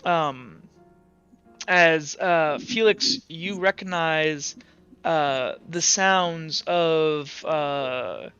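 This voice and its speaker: A man narrating a tabletop roleplaying game as game master, informal and halting, pausing to think. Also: on the high side, bright, forceful tone, clean tone. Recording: slightly dull audio with faint music. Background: faint music.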